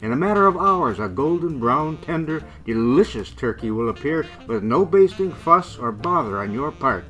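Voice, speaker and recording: A man playing a genial, proud grandfather and company salesman in a radio play, with a folksy pitchman's manner. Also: very animated, normal speed, few pauses, pushed and bright, low-quality recording. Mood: proud, selling. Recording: music behind the voice.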